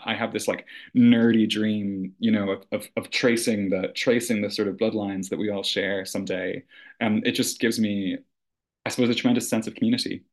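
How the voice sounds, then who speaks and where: A man from rural Ireland, a theater artistic director talking earnestly as a podcast guest, often restarting his sentences. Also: fairly low pitch. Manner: thoughtful, earnest, with frequent restarts and "you know". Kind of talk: conversational podcast interview.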